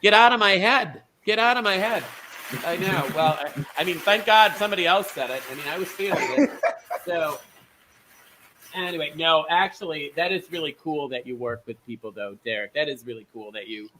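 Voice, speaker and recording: A man hosting an online bingo show, teasing his guests with quick, campy asides. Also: fairly high pitch, animated, noisy background.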